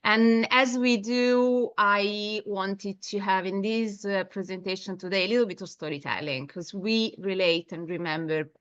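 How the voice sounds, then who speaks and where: A woman's voice: mid-range voice, steady tone, a little slow, hardly any pauses, fairly bright tone, smooth, no echo, highs cut off. A woman from Italy with an Italian accent, presenting a marketing webinar over a video call with frequent hesitations. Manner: hesitant, many filler sounds, storytelling. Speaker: webinar presenter, SEO agency founder.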